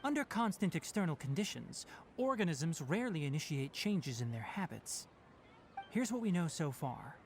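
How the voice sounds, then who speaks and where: An actor playing an analytical young forest watcher in a video game, speaking in precise, clinical phrases. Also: male voice, a little high, sing-song, normal speed, soft background music. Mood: explaining, focused. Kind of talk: English video game voice acting.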